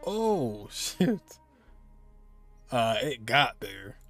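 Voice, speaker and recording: A young man streams a game and reacts to it. His voice sits at a middle pitch and his delivery is casual and conversational. Music from the game plays behind him. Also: sing-song, very slow, a bit bright.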